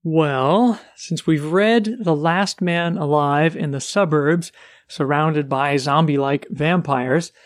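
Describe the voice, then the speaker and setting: Male podcast co-host, fairly high voice, dry close-mic sound. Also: no room sound. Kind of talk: casual book chat.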